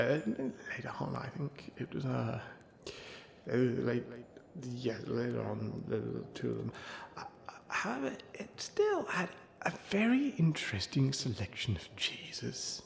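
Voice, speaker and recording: A man's voice: medium pitch, sing-song, a little slow, creaky, heavily acted. A man playing a befuddled old wizard who mumbles and rambles through tall tales in a comic ASMR roleplay, trailing off into muttered asides. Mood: muttering, befuddled. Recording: roomy echo, faint background noise and ambience.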